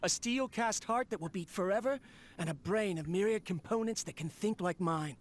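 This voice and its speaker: Man playing high-voiced, grandiose young inventor. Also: normal speed, lightly acted. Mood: proud, hopeful. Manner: grandiose, declaiming. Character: ambitious, proud male researcher talking to his machine. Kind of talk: video game voice acting.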